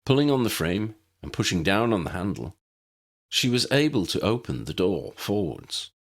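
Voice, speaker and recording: Deep-voiced man narrating a story. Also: big pitch swings, normal speed, gravelly. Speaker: audiobook narrator.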